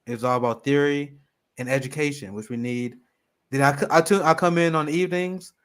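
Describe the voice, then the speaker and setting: A man's voice: fairly high pitch, brisk, fairly bright tone, smooth. A man hosting a political livestream, casually running through the show schedule in an upbeat way. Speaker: host.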